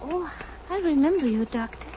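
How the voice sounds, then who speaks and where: An actress playing a proud, troubled, sharp-tongued young woman passenger in an old radio drama, cool and deliberate. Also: high-pitched, lively intonation. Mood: cool, cutting. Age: young adult. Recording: muffled old radio recording, faint noise.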